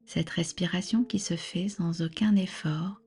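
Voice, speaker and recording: A woman guiding a relaxation meditation as a yoga nidra instructor, with a soft, soothing, breathy delivery and measured, gentle instructions. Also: lowish voice, fairly even intonation, faint music behind.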